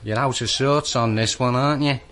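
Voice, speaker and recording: An actor in a radio drama playing a naive working-class detective's sidekick, talking colloquially and hesitantly. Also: male voice, mid-range voice, steady tone, brisk, a bit bright, lightly acted. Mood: colloquial, flustered. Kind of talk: BBC radio drama acting.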